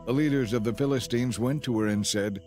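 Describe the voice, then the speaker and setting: A man narrating a dramatized Bible story in a measured, scripted storytelling style. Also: mid-range voice, a little mellow, faint music behind.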